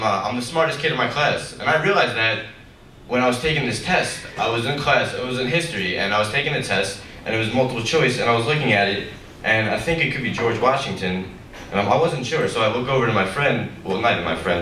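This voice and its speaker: A young man doing a stand-up comedy set, with a low voice and a deadpan, rambling, self-mocking delivery. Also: a little hiss or hum. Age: young adult. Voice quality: low, deep voice. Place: comedy club stage with a live audience. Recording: roomy, distant live club recording with loud crowd laughter.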